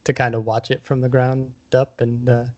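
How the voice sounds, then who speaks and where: A man's voice: mid-range voice, flat delivery, moderate pace, a little mellow. A man hosting a podcast interview in a casual, chatty style. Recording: dull, lo-fi sound.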